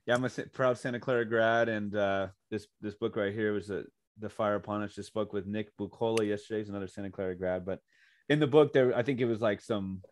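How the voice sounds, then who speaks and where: A chatty man hosting a podcast, winding through long questions and dropping quick "mm"s and "right"s as his guest talks. The recording is dry and close, with no room sound. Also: fairly low pitch, fairly even intonation, dry recording. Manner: chatty, rambling questions, frequent backchannel. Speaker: podcast host. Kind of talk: podcast interview.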